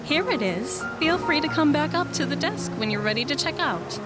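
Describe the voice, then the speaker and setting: A woman's voice: high voice, animated, moderate pace. A woman playing a friendly, helpful librarian in an audio drama, with a chirpy, obliging manner. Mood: cheerful, helpful. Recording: loud music behind the voice.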